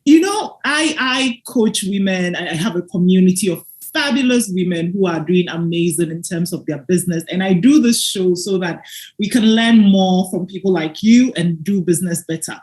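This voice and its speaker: A woman's voice: lowish voice, normal speed, darkish tone, echoey room. A woman who hosts a business show and coaches women, encouraging her guest and laughing often. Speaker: podcast host and business coach. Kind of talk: casual interview talk.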